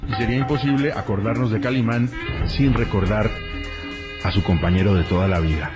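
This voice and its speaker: A man's voice: fairly low pitch, a little fast, over music. A man hosting a radio interview.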